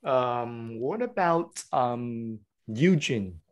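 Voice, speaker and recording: A man hosting an online teachers' meeting, calling on each teacher in turn and filling pauses with ums. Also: mid-range voice, lively intonation. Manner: slow, hesitant with many fillers. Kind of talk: hosting a video call.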